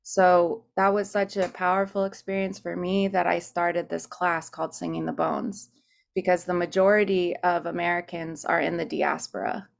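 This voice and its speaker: A woman singer and teacher on a video call from her desk at home, telling her personal story in an earnest, searching way and pausing to gather her thoughts. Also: fairly low pitch, little pitch movement, vocal fry. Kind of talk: reflective personal account. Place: home room at a desk by a window.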